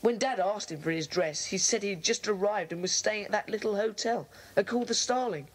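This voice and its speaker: A man's voice: high voice, brisk, low-quality recording. A male performer playing an earnest, apologetic schoolboy in a children's audio drama, polite but protesting. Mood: aggrieved.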